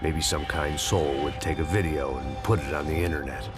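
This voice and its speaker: An actor playing a bitter, middle-aged American ex-cop with a cynical deadpan delivery, in hard-boiled game narration. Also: male voice, a bass voice, fairly quick. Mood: weary, bleak. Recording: loud music behind.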